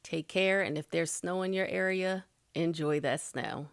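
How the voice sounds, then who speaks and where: Chatty middle-aged woman with a low voice. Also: measured pace, clean tone, no echo, clean studio sound. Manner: chatty and loose, light laughs, trailing restarts. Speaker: YouTube creator giving tips. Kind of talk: casual spoken tutorial.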